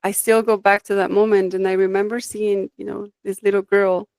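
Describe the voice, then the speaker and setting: A woman's voice: mid-range voice, little pitch movement, brisk, a little mellow, clear, resonant tone, dry recording. Reflective woman from Mexico, dry sound. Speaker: guest, life design coach. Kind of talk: conversational interview, personal storytelling.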